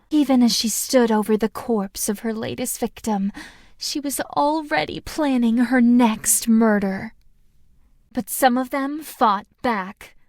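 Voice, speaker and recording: Woman acting as young female game narrator, high voice, unhurried delivery, composed sinister storytelling, dry close sound. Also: no echo, lightly acted. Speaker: voice actress for a game character.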